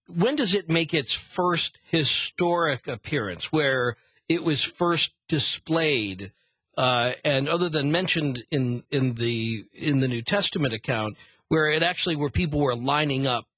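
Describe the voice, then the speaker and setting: A man hosting a radio interview, prompting his guest and summarising in measured, broken phrases as he builds questions. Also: medium pitch, animated, pushed and bright, husky, no room sound.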